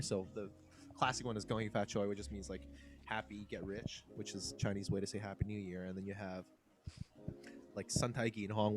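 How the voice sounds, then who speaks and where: Mellow, low-voiced guy rambling. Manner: rambling, filler-heavy explaining. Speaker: podcast co-host. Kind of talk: casual chat.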